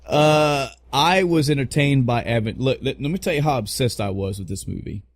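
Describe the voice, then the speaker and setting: A man's voice: mid-range voice, moderate pace, dry recording. Blunt, joking man on a dry mic. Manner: blunt, joking, swears freely; repeats phrases for emphasis. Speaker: podcast co-host. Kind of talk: casual opinionated podcast chat.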